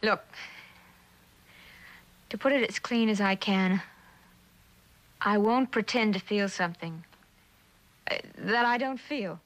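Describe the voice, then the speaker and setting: A woman's voice: mid-range voice, slow, pauses often, a bit bright. Tough, slangy young woman. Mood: defiant, blunt. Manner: slangy, blunt, wisecracking. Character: tough, blunt, streetwise delinquent young woman, defiant. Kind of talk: 1960s television suspense drama acting.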